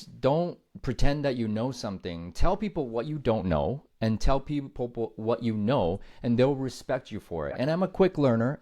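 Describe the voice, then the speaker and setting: A man's voice: mid-range voice, a little mellow. A man hosting a podcast interview who eagerly sums up his guest's points and turns to the audience to drive them home. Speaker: podcast host.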